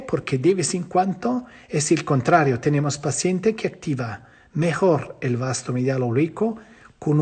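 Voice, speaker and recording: Guy teaching a physio class in non-native spanish, husky, kinda high voice, always asking himself why. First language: not Spanish. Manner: lively, asks and answers his own questions. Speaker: physiotherapy lecturer. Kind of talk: teaching a course, explaining slides.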